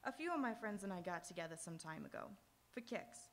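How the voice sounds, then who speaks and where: A woman's voice: medium pitch, big pitch swings, fairly quick, close to an everyday voice. A woman playing a brazen, bitter young noir suspect in a live radio drama, frank and coolly callous. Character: cool, bitter, brazen female murder-victim's sister.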